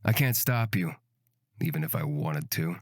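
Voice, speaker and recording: A woman's voice: extremely low pitch, animated, moderate pace, dry recording, clean studio sound, pitched below the performer's own voice. A woman voicing a menacing, mocking male killer from a fantasy visual novel, coldly sardonic and taunting. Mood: weary, cold. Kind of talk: visual novel character acting.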